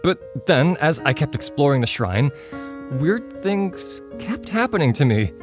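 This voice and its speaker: Guy doing forgetful young man, excitable n earnest, audio drama storytelling, music behind him. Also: a little high, a little slow. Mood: excited, incredulous.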